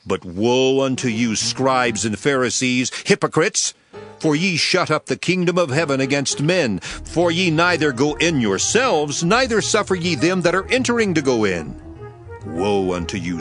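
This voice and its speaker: A man's voice: mid-range voice, fairly bright tone. An emphatic, authoritative male teacher. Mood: stern rebuke. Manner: solemn, emphatic, declamatory. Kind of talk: dramatized Bible reading.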